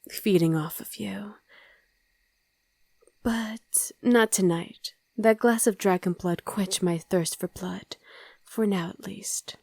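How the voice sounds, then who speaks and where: A woman's voice: medium pitch, darkish tone, dry recording. A woman playing a loving, wistful vampire wife in an intimate ASMR roleplay, speaking tenderly and often in a whisper, close to the microphone. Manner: slow, tender, coaxing murmur. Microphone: close, dry microphone.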